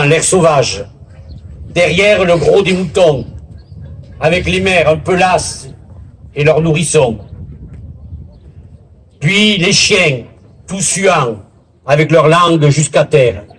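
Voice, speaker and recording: A man giving a formal public speech as a local official, in a deliberate, theatrical oratorical manner with pauses and a husky voice. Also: on the high side. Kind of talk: formal public speech at a village festival, with literary recitation. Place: village festival in Bouisse, addressing a gathering.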